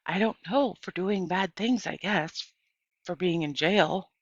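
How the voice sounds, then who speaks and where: A woman reader plays an earnest young storybook girl, full of candid questions. She speaks slowly and politely, a little hesitant and worried. The recording is dry and slightly dull. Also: fairly low pitch, no echo.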